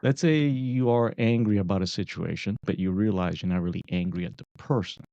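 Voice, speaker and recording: A man co-hosting a casual advice talk, explaining things deliberately and checking in with his listener, on a slightly muffled recording. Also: sits low, vocal fry, warm tone, dry recording. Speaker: podcast co-host.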